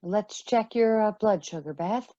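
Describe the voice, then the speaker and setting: A woman playing a gentle, practical, reassuring foster mother in a radio play. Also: mid-range voice, a little slow, no room sound, lo-fi sound, close to an everyday voice. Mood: concerned.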